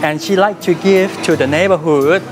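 Thai guy being interviewed, thai accent, halting english, searching for words, loud music behind. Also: a little high. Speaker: restaurant owner being interviewed. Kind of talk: casual interview. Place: indoor restaurant. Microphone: lapel.

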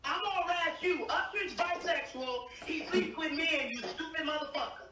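A woman's voice: very high-pitched, normal speed, a crowd in the background. An angry middle-aged woman in a heated argument, confrontational, profane and emphatic. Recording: echoey, distant leaked phone-call recording.

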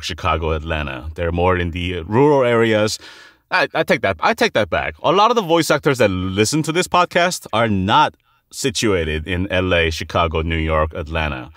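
Animated, low-pitched man hosting an interview. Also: no room sound, studio quality. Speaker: podcast host. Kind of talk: conversational interviewing.

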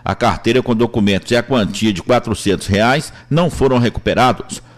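A man's voice: mid-range voice, brisk. A man with a Brazilian accent reading scripted police news reports as a reporter.

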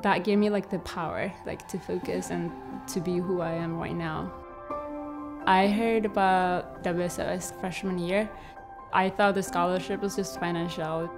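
A soft-spoken young woman from Ethiopia tells her story in an earnest, halting way, with a fair amount of pauses and fillers. Her voice sits a little low, and clear music plays under her throughout. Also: steady tone. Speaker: scholarship recipient. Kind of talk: documentary interview voice-over. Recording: music playing behind.

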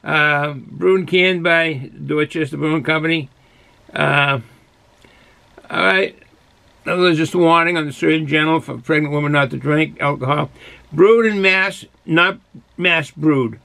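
A man's voice: fairly high pitch, unhurried, vocal fry, bright, forceful tone. A man in his sixties from Boston with a thick Boston accent, chatting casually and rambling a little as he reviews for the camera. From Boston, United States. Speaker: beer reviewer. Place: small cluttered indoor hobby room.

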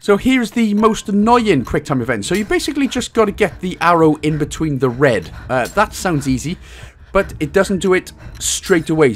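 A man presents a casual game achievement guide. His pitch swings in a lively way as he explains things, and his manner is jokey and a bit crude. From Wales. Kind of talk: casual video game walkthrough commentary.

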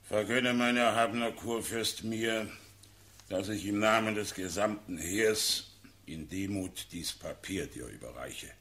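A blunt, loyal old colonel in a classical radio play. His fairly low voice has a hard, forceful edge, and he declaims formally, respectful but insistent. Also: a little slow. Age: older. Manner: deferential yet forceful, declamatory. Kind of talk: German classical verse radio drama.

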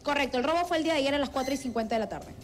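A young woman with a Peruvian accent reporting live from a city street into a handheld mic, brisk and with restarts. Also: high-pitched, soft background music. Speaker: field reporter.

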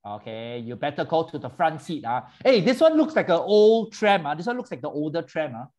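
A fairly high-voiced man hosts a virtual tour in an animated, chatty way. He cuts in on his guest and switches between English and Mandarin as he explains things. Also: fairly quick. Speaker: host. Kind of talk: bilingual virtual tour chat for seniors.

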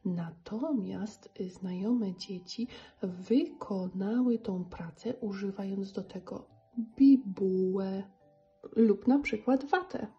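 A woman's voice: mid-range voice, slow pace, warm tone. A woman giving a casual, somewhat hesitant craft tutorial for children in voice-over. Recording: slightly dull, music behind.

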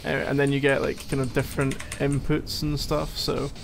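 Man playing a flustered gamer boyfriend, gravelly voice. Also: medium pitch, completely flat delivery, a little hiss or hum, natural voice. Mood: casual explaining. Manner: halting, teasing, mumbly asides while playing a game. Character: needy young gamer boyfriend, flustered, playfully exasperated. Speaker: ASMR roleplay performer. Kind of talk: ASMR boyfriend roleplay, improvised-sounding casual chat.

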